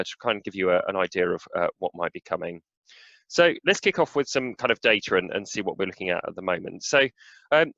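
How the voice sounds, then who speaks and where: A man with a cold, hoarse as he presents a slide update to a webinar audience, with many "uh"s and "um"s. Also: on the low side, fairly bright tone, no echo, highs cut off. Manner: filler-heavy, frequent "uh" and "um". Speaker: senior relationship manager giving an update.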